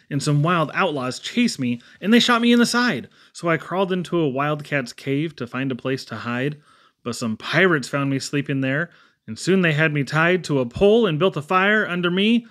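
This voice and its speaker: A man reading children's poems aloud expressively, with theatrical pauses and emphatic swings. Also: fairly high pitch, a bit bright. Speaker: poetry reader.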